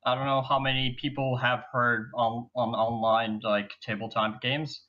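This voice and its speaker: A man's voice: mid-range voice, level tone, normal speed. A young man from Bulgaria, a student, talking about himself in a rambling, casual way. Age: young adult. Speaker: player. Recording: dull lo-fi call audio.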